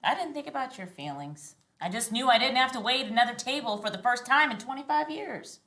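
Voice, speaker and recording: A woman's voice: fairly high pitch, animated, fairly quick, a bit bright. An American woman playing a proud, indignant, middle-aged single mother in a live sitcom script reading, earnest and frank. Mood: earnest, hurt.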